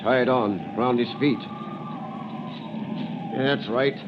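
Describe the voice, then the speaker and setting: An actor playing a gruff, matter-of-fact prison guard with a businesslike, wry manner in a 1930s radio drama. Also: male voice, mid-range voice, slow pace, close to an everyday voice. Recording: muffled, noisy old radio recording.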